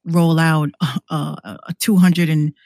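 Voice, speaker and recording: A man's voice: fairly high pitch, steady tone, slow, creaky, darkish tone, no room sound, studio quality. A man in a casual podcast chat, explaining things informally and often repeating words as he goes. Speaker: podcast guest who runs an online radio station.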